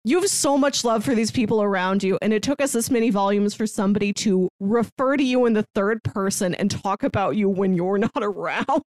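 Expressive woman chatting on a podcast. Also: mid-range voice, fairly quick, few pauses, dry recording, clean studio sound. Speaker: podcast host. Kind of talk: casual chat about a manga.

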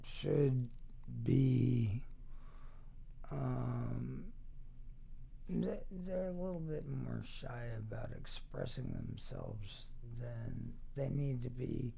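A middle-aged American man reminisces casually in a creaky, gravelly voice. He talks unhurriedly and in broken pieces, stopping to search for words, with a wry touch. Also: medium pitch, dark tone. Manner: halting, fragmented, wry. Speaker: interview guest.

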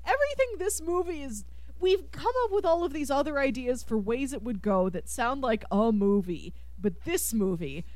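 Animated higher-voiced woman podcaster. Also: moderate pace, no echo. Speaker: podcast host. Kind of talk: casual film discussion.

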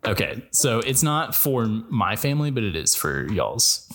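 A young man with a lowish voice chats loosely on a podcast, joking around and cutting himself off mid-thought. His voice sits a little low for a man. A faint hiss runs under the recording. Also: sing-song, unhurried, fairly bright tone. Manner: playful, laughs often, restarts sentences. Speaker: podcast co-host. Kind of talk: casual chat.

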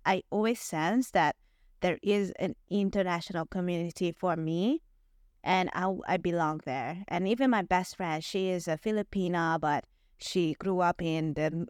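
A woman's voice: fairly low pitch, normal speed, dry recording, studio quality. Chatty young woman podcast host. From Hungary. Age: 20s. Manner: chatty, laughs often, many fillers. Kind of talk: casual interview chat.

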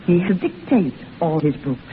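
Woman acting elderly grandmother, chatty, lively pitch, vintage radio drama, faint hiss. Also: normal speed, natural voice. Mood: interested. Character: chatty elderly grandmother reading aloud the news. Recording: tinny, hissy old radio broadcast.

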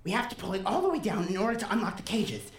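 A woman's voice: medium pitch, sing-song, quick pace, close to an everyday voice. A woman acting in an audio drama as an intense, persuasive captive child, speaking earnestly and urgently. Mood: eager. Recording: roomy, echoing student audio drama.

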